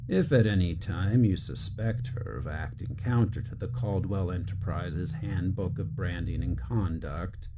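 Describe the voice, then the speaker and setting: A man's voice: deep voice, a little slow, few pauses. A man playing a cold, officious corporate commander with a clipped, formal, imperious manner in an audio drama. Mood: commanding. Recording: clear ambient noise behind.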